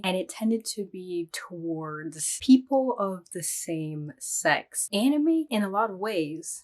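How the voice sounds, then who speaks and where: Husky low-voiced woman. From Barbados. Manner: eager, reflective storytelling. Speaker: vlogger. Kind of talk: casual vlog monologue.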